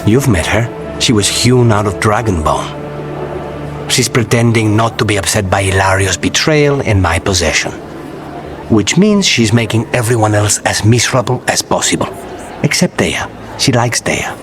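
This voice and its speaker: A man playing a dry, low-voiced suave assassin. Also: expressive, natural voice. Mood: weary, tender. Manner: dry, measured, understated. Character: dry, weary, suave male assassin of a crow guild. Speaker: companion character in a fantasy game. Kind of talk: video game dialogue. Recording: loud music behind the voice. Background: music.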